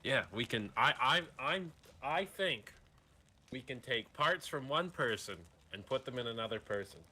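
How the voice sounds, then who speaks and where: A man roleplaying an amoral mad doctor in a Western game, explaining his schemes calmly and haltingly. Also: medium pitch, slight background noise. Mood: calmly eager. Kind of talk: improvised online Western roleplay.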